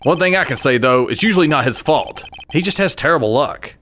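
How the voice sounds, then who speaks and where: A man's voice: fairly high pitch, lively intonation, brisk, a little hiss or hum. A man playing an earnest, hesitant young defense lawyer in a video game fandub, given to stammering under pressure. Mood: earnest narration. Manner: hesitant, stammering when put on the spot. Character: earnest, nervous rookie male defense lawyer in his twenties. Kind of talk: game fandub acting with inner monologue. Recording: loud music bed under the voice.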